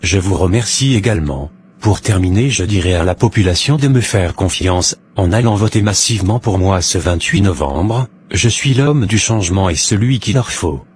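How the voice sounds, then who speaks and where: An actor playing an earnest, self-righteous businessman running for parliament in an animated drama, speaking formally in speeches. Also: low-pitched, normal speed, slight background noise. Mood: defensive, insistent. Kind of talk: animated drama dialogue, political interview answers.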